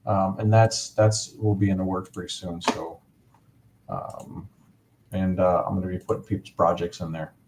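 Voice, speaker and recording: Low-voiced enthusiastic male stream host. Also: level tone, moderate pace, noisy background. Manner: rambling, enthusiastic, many fillers. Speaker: livestream host. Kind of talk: casual livestream chat.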